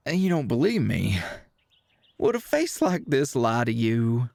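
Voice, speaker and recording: An actor playing a friendly, awkward, protective rancher with a Southern US accent and hesitant, stammering, folksy speech in an ASMR roleplay. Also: male voice, medium pitch, very animated, measured pace, gravelly, a little mellow, dry recording. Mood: nervous, evasive.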